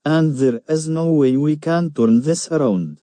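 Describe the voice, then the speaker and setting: A man's voice: fairly high pitch, unhurried, dark tone, no room sound, natural voice. A man playing a despairing, war-weary national leader in an amateur audio drama, somber and defeated.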